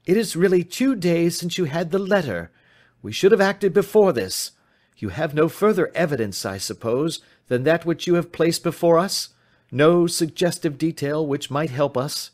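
An impatient, brilliant male detective as a male narrator puts him on, pitched high and swooping widely. He speaks in imperious, emphatic exclamations, urgent and commanding, in storybook style. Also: sing-song, a little slow, few pauses, husky, exaggerated voice. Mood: brisk, pressing. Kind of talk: audiobook character voice.

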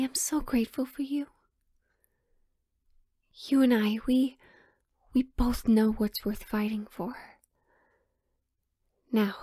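A woman's voice: high voice, warm tone, breathy edge, no echo, studio quality, exaggerated voice. A woman playing a sheltered, pleading young royal in a romantic audio drama, with hesitant, formal old-fashioned phrasing. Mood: grateful, hushed, then reluctant, polite.

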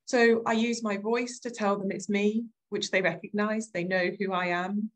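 A woman farmer speaks to an online audience in a gentle, reflective way. She talks in a mid-range voice, neither high nor low, and often softens her points with "sort of" as she goes. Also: steady tone, normal speed. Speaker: farmer giving a webinar talk. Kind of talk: talk to an audience over slides.

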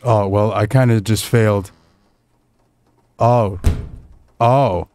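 A man's voice: lowish voice, steady tone, slow, mellow, slight background noise. A man streaming a game, reading a police dispatcher's lines aloud.

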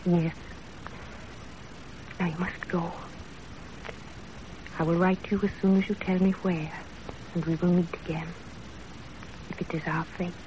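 Woman playing gentle young woman in love, fatalistic, 1930s radio drama, dull noisy recording. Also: lowish voice, flat delivery, measured pace, long pauses, lightly acted. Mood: hushed, tender.

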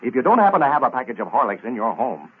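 An American man working as a radio announcer, delivering a sponsor's pitch in 1930s advertising style. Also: very animated, very fast, slightly rough. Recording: tinny, band-limited vintage radio recording.

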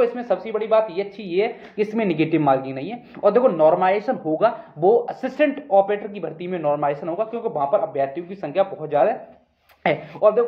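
High-pitched man in an echoey room. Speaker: exam news video presenter. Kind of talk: informal explainer addressed to exam candidates. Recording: roomy echo, dull muffled sound.

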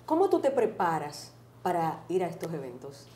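A woman's voice: fairly low pitch, big pitch swings, normal speed, dark tone. A woman hosting an interview, asking short conversational questions from a studio set. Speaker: host, interviewer. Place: studio set with curtains, seated. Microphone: lapel. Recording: echoey room with faint noise.

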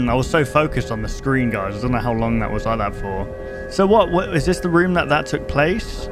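A man's voice: medium pitch, expressive, normal speed, music in the background. A man in his twenties giving casual reaction commentary as a YouTube host, close to a desk microphone in a bedroom. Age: 20s. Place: bedroom, indoors.

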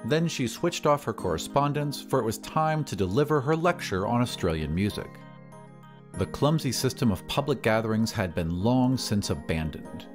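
A man narrating a scripted audio drama as a storyteller, in measured, literary phrasing. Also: lowish voice.

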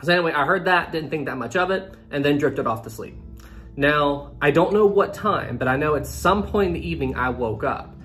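A young man in his twenties telling a travel story to camera in a small room, animated and conversational. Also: on the high side, fairly quick, soft background music. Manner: animated, conversational, addresses viewers directly. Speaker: travel vlogger.

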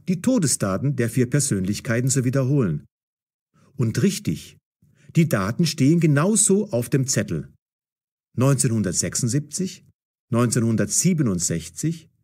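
Casting: male story narrator, mid-pitched, lively melody, carefully enunciated.

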